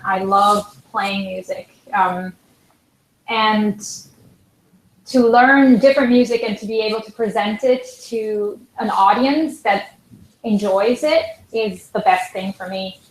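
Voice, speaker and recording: A woman's voice: medium pitch, steady tone, unhurried. Swiss woman, roomy call audio. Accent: Swiss German. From Switzerland. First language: Swiss German. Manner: hesitant, many fillers and restarts. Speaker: guest pianist. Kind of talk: live online interview.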